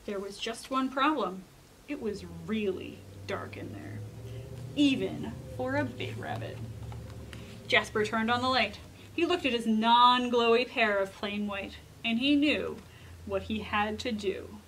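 Young woman, children's librarian, higher-pitched, bright, dramatic delivery, addressing kids. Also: a little slow, a little hiss or hum, rough recording. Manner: theatrical, emphatic, with questions to young listeners. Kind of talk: dramatic storybook reading and chatty explaining to children. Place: children's library room, indoors.

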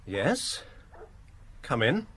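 An actor playing a formal, stiffly courteous foreign gentleman suspect in an old radio drama. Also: medium pitch, big pitch swings, extremely slow. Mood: stiff courtesy. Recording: noisy old radio broadcast.

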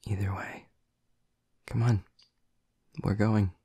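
Male actor as a doting vampire boyfriend: low, breathy, slow whispers, teasing and intimate. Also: flat delivery, dark tone, no room sound, studio quality, exaggerated voice. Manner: teasing and affectionate. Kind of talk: ASMR audio roleplay.

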